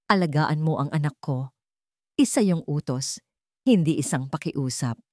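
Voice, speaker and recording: Woman reading as a rich stern dad, formal, flat and cold, giving orders, dry sound. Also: sits low, moderate pace, no room sound. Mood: cold command. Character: formal, lifeless, commanding wealthy father.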